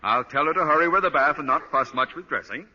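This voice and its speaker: A man's voice: fairly high pitch, animated, fast, pushed and bright, heavily acted. An actor playing a pompous, imperious middle-aged principal and father in a 1940s radio sitcom. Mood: bossy. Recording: dull vintage radio recording, long reverb.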